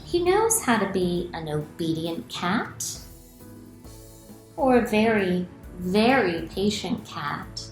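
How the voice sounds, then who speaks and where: Middle-aged woman, medium pitch, children's author at storytime, expressive delivery, roomy home recording. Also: slow pace, faint music behind. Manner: expressive, clearly addressed to children. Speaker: children's author reading aloud. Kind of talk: children's storytime read-aloud and explanation. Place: small room at home, indoors.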